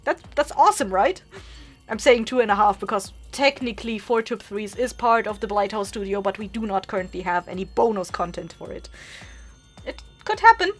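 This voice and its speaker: A woman's voice: medium pitch, expressive, fairly quick, faint music behind. A woman hosting a podcast, giving announcements to listeners in a chatty, informal, upbeat way. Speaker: podcast creator and host. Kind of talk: casual scripted announcement to listeners.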